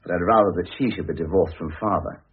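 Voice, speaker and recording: A man's voice: sits low, fast talker, husky. A man playing an opinionated, priggish adult son in a vintage British radio drama, speaking emphatically and insistently. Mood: earnest, insistent. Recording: muffled, tinny old radio recording.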